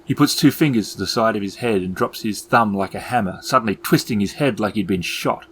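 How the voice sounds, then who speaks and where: A man narrating a tabletop game as game master in a measured, dramatic storytelling manner. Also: fairly low pitch, a bit bright, breathy edge.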